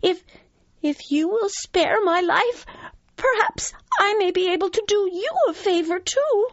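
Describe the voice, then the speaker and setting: A woman voicing a tiny, earnest male mouse in a children's fable, with a thin squeaky voice and a pleading, urgent manner. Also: very animated, takes it slowly, sharp tone, no echo, lo-fi sound, exaggerated voice. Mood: frightened pleading.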